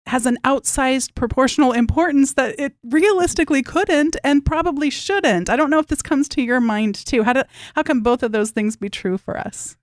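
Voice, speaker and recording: A woman's voice: high voice, moderate pace, hardly any pauses, no room sound, clean studio sound. A woman hosting a podcast, asking thoughtful, admiring questions of her guest. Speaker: podcast host. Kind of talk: interview questions.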